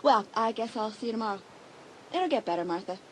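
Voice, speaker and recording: A woman's voice: a little high, expressive, quick pace, slight background noise, lightly acted, low-quality recording. An actress playing a friendly, chatty teenage schoolgirl in a dramatised audiobook, speaking in a confiding way. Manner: conversational, confiding.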